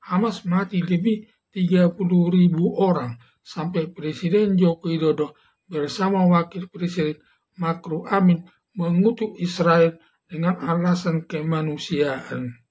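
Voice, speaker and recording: Older man, high pitch, rough husky tone, halting read-aloud commentary. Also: darkish tone. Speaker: legal commentator.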